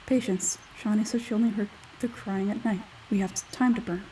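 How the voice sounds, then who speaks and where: A woman voicing a calm, aloof young scholar, a man from a fantasy video game, with a measured, deadpan delivery. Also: medium pitch, slight background noise, voice pushed down, lightly acted, poor audio. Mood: unbothered.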